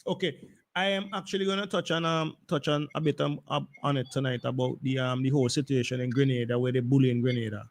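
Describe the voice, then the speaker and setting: A man's voice: medium pitch, fairly even intonation, fast. A man hosting a live radio call-in talk show, speaking hesitantly and often repeating words. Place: radio studio.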